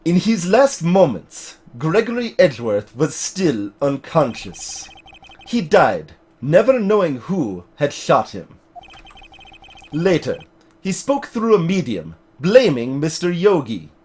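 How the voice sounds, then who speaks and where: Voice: mid-range voice, very animated, darkish tone, slightly rough, exaggerated voice. A performer voicing a vengeful, unhinged old male prosecutor in a video game, seething and theatrical. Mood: grim, gloating. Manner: theatrical, seething, dramatic drawn-out delivery.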